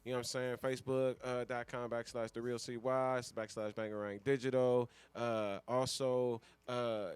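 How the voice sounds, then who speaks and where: A man's voice: medium pitch, level tone, moderate pace, few pauses, clear, resonant tone, no echo. A man hosting a radio show in casual, slangy talk, repeating his phrases for emphasis.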